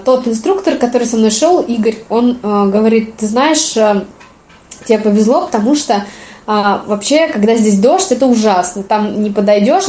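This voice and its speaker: Женщина-гостья с лёгкой хрипотцой после болезни непринуждённо и вдумчиво рассказывает о своём опыте, часто делая паузы. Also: female voice, a little high, darkish tone. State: slightly hoarse, recovering from illness. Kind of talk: casual personal storytelling. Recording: roomy, echoing sound.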